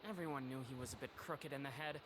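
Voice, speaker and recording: A man's voice: mid-range voice, fairly even intonation, fast talker, noisy background, lightly acted. An actor playing a skeptical, blunt and eager male researcher in a video game. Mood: wry. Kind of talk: scripted video game dialogue.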